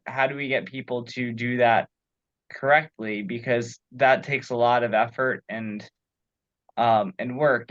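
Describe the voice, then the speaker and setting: A man thinking aloud in a meeting, haltingly searching for his words. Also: mid-range voice. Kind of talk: thoughtful meeting discussion. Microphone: group teleconference call. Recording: dull lo-fi conference call audio.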